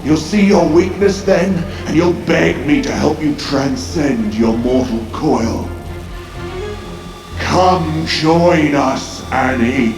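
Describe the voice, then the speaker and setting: A man's voice: a little high, slow pace. An actor playing a menacing possessed man merged with an alien horror, taunting and grandiose, in a sci-fi horror audio drama. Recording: roomy echo over music.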